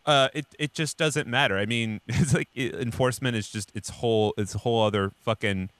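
An American man co-hosting a podcast in casual political discussion, talking in a halting, rambling but emphatic way. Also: medium pitch, fairly bright tone, dry recording. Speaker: podcast co-host.

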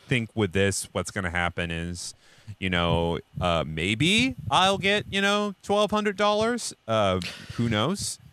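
American guy on a podcast, lowish forceful voice, kinda rambly, dry close sound. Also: lively intonation, slow, clear, resonant tone, no echo. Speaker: podcast co-host. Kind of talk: casual political panel discussion.

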